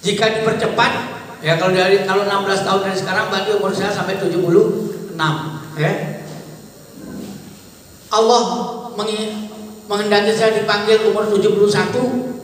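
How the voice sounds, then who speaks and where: A man's voice: sits high, little pitch movement, brisk, pauses often. A man in his sixties, head of a pesantren, giving rambling, fatherly advice in a conversational way to students and alumni. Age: 60s. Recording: echoey hall, distant mic, faint noise.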